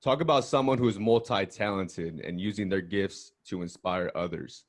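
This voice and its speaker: A man's voice: sits low, moderate pace. A man hosting a podcast, talking in a friendly, upbeat way with casual slang. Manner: friendly, upbeat, uses slang like bro and dope. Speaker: podcast host. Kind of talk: casual interview talk.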